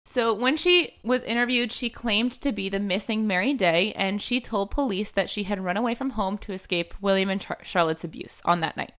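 A woman's voice: medium pitch, normal speed, hardly any pauses, fairly bright tone. A young woman hosting a true-crime podcast, telling a case in a casual chatty way, full of "like" and "um" fillers. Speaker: podcast host.

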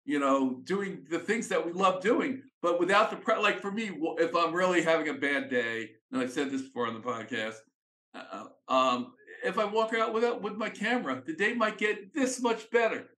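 A man's voice: on the high side, lively intonation, normal speed, sharp tone. A man co-hosting a podcast, a photographer, talking casually with a laugh in his voice. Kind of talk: casual conversation.

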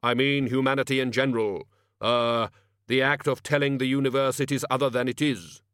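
A male narrator performs a grim, humourless immortal in a comic fantasy storybook. He speaks gravely and deliberately, as if each word were fact. The recording is dry. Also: medium pitch, fairly even intonation, a bit bright, no echo, pitched above the performer's own voice, close to an everyday voice. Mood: stern. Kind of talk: audiobook character acting.

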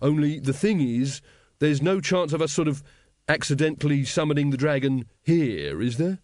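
A man's voice: on the high side, lively intonation, normal speed, a little mellow, dry recording, studio quality, lightly acted. A timid member of a secret brotherhood, read by a male narrator in a storybook. He is nervous and hesitant, feeling his way through each sentence.

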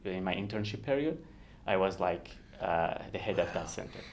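A man in his thirties from Iran with an Iranian accent, a native Kurdish speaker, talking earnestly and haltingly as a podcast guest who laughs often. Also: low-pitched, animated, a little hiss or hum. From Iran, Kurdistan region. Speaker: guest researcher. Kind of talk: podcast interview.

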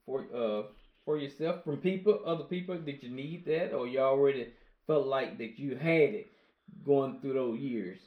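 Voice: mid-range voice, moderate pace. Co-host, casual chat, affirming, churchy, roomy echo, dull lo-fi sound. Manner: affirming, churchy, preaches briefly.

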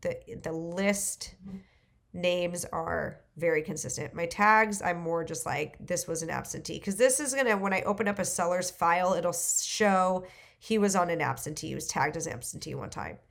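A woman with a stuffy nose from the flu, casually talking through a software demo and thinking aloud as she clicks. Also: on the low side, moderate pace, vocal fry. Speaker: real estate investor. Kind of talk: screen-recorded software walkthrough.

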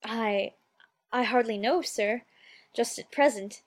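A man's voice: extremely high pitch, takes it slowly, pitched above the performer's own voice. A man voicing a polite, anxious little girl in a storybook, with a shy and hesitant way of talking. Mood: shy, confused. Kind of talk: storybook character voice.

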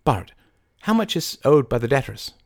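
A man's voice: medium pitch, big pitch swings, darkish tone, no room sound, studio quality, voice pushed up. A man voicing an intense, volatile woman, commanding yet fragile, in a dramatic audiobook reading. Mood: brisk, coaxing. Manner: dramatic, deliberate, mercurial.

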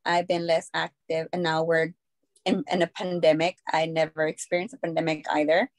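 A woman's voice: deep voice, level tone, normal speed, no room sound. A woman in her thirties chatting casually as a guest, telling things in a loose, rambling way. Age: 30s. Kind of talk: casual group chat.

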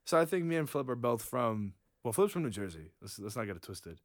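A young man from New York City co-hosting a casual chat, full of quick quips and teasing asides. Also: medium pitch, sing-song, dry recording, clean studio sound. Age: young adult. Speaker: podcast co-host, college student.

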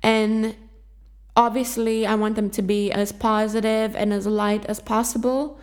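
A woman whose first language is Greek, hosting a self-help podcast. She gives earnest, encouraging instructions and often repeats herself. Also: mid-range voice. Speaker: podcast host.

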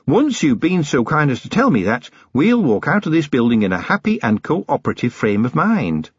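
A man's voice: mid-range voice, big pitch swings, normal speed, breathy edge, no room sound, muffled recording, pitched above the performer's own voice. A man voicing a shrewd female dwarf police officer in a fantasy audiobook, courteous, formal and cheerily firm. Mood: smiling pressure. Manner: formal, courteous, quietly pressing.

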